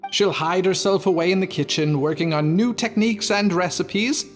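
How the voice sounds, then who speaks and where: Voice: medium pitch, normal speed, soft background music, natural voice. Actor as earnest junior kitchen worker. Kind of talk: anime game voice acting.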